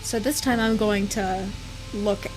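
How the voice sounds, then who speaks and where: A woman's voice: medium pitch, fairly even intonation, measured pace, over music, low-quality recording. A woman streamer giving casual commentary on a game, thinking aloud and rambling with restarts. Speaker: let's play streamer.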